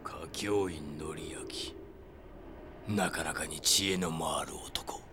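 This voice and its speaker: A man's voice: very deep voice, slow pace, background noise. An actor playing a sadistic killer in an audio drama, mocking and gloating as he drawls. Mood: quietly menacing. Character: sadistic villainous killer taunting his victim's avenger. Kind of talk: Japanese audio drama acting. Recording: loud dramatic music behind.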